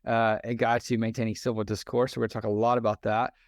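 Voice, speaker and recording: A man hosting a podcast interview in a relaxed conversational way, often pausing on fillers and restarting sentences. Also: fairly low pitch, no room sound, studio quality. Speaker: podcast host.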